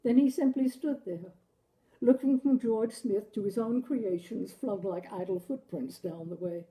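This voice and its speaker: Female narrator for literary fiction, unhurried and expressive, with a very soft, dark, mellow tone. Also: medium pitch, low-quality recording. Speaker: story reader, show host. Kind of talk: literary audiobook-style story narration.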